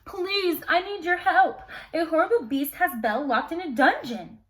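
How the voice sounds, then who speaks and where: A woman's voice: extremely high pitch, lively intonation, normal speed, fairly bright tone, pitched above the performer's own voice. A woman voicing a frightened, earnest father who pleads in a storybook tale. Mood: desperate.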